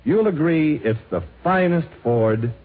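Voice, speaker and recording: A man's voice: lively intonation, unhurried. Phone-like vintage American male radio announcer. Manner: emphatic, polished sales pitch. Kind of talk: 1950s scripted radio advertisement.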